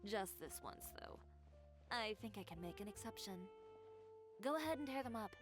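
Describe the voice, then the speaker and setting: An actress playing a proud female fashion designer who speaks in a calm, composed, dryly sardonic way. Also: medium pitch, normal speed, over music, lightly acted. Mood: resolute. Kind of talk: game voice acting.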